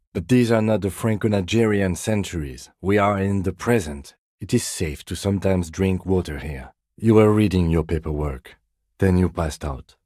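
A man playing a calm, dignified middle-aged police lieutenant in a video game, speaking in a measured, precise, deadpan way. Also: deep voice, slightly rough, dry recording, clean studio sound. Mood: firm, dry, then quietly concerned. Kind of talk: video game character dialogue.

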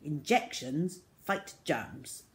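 A woman's voice: deep voice, animated, drawn-out words, full character voice. A woman voicing a stern, exasperated father with a firm, scolding manner, in a children's story. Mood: matter-of-fact.

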